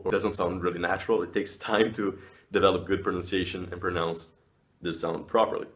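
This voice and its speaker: Man with a low voice. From Brazil. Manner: friendly, explanatory, with small laughs. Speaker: language video host. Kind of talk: casual educational talk to camera.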